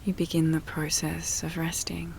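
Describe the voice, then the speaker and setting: A woman guiding a relaxation meditation in a soft, breathy, often near-whispered voice, soothing and full of long pauses. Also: low-pitched, completely flat delivery, slight background noise. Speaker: yoga nidra meditation guide.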